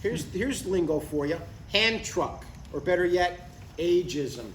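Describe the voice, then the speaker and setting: Guy acting as earnest stubborn dad in his 60s, bit high voice, roomy far-off mic, comedy table read. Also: lively intonation, a little slow. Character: earnest, stubborn sixty-two-year-old father chasing a writing career. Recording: echoey live room, distant mic, faint noise.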